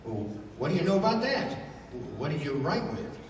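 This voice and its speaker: Folksy rough-and-ready pulp sidekick acted by a man, lively intonation, 1930s radio style, distant echo-heavy recording. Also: on the high side, normal speed. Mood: puzzled, folksy. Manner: colloquial, blustery. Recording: echoey hall from far off, faint noise.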